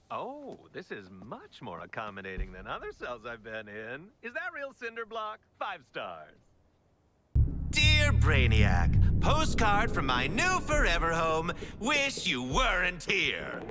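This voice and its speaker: A man's voice: a little high, full character voice. An actor playing a gleeful, unhinged male clown villain in a video game, talking in a playful, mocking, theatrical way. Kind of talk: video game cutscene acting.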